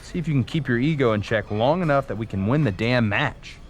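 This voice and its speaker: A man plays a competitive pro wrestler in a video game. He speaks assertively and earnestly, with animated swings of pitch in a mid-range voice. There is faint noise behind him. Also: a little fast, lightly acted. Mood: challenging. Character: self-assured, competitive male pro wrestling star. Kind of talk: video game cutscene acting.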